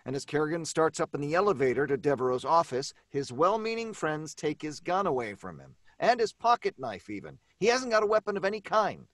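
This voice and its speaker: A man's voice: on the high side, animated, a little fast, hardly any pauses, a bit bright, no room sound. A man playing a frustrated, stubborn writer in an old-time radio play, emphatic and exasperated.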